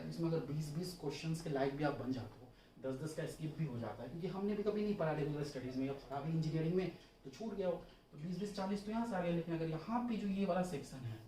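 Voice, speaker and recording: Young guy coaching for an exam, talks really fast, casual and instructive, echoey room. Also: a little high, a little mellow. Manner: instructive, colloquial, repeats points for emphasis. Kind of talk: informal exam strategy lesson. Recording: roomy, distant mic, faint noise.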